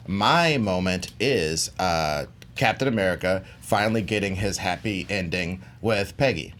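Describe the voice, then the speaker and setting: A man's voice: on the low side, fairly bright tone, a little hiss or hum. A man making a casual, comedic case as a debate contestant, slangy and emphatic, repeating phrases for effect. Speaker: contestant in a film debate show.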